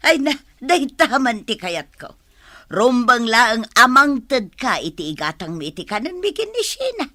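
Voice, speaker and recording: A woman's voice: on the high side, big pitch swings, takes it slowly, bright, forceful tone, no room sound, clean studio sound, heavily acted. A woman playing a wily, dismissive, hard-living grandmother in an audio drama, with theatrical delivery. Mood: grasping, placating. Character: wily grandmother who drinks, gambles and neglects her grandchild.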